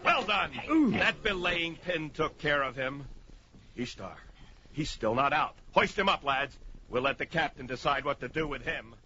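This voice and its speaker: An actor playing a bullying, mocking deckmaster on a slave ship, barking orders in a pulp fantasy audio drama. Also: male voice, a little high, expressive, measured pace. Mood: gruff command. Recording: dull, lo-fi, slightly noisy.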